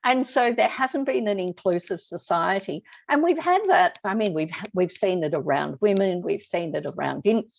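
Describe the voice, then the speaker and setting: A middle-aged woman with a gravelly, creaky edge to her voice, hosting a relaxed discussion. She thinks aloud and turns questions over to her guest. The audio is a little dull and lo-fi. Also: on the low side, normal speed, few pauses. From Australia. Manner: reflective, asks guest questions. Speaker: host. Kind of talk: conversational discussion.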